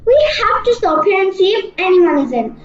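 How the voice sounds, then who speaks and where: A woman playing a sensible, bossy young sister in a radio play, brisk and scolding. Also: very high voice, big pitch swings, soft background music. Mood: worried, urgent. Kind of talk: amateur radio play acting. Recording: muffled, echoey room recording.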